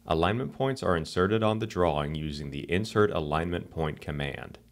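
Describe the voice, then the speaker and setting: A man giving calm, scripted narration for a software tutorial screencast. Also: low-pitched, normal speed.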